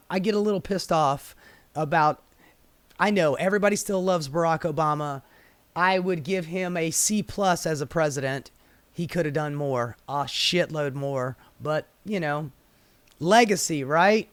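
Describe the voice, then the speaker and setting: A man's voice: on the high side, a bit bright, no echo. An American man giving casual, opinionated news commentary in a sardonic, exasperated, sweary manner. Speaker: political commentator.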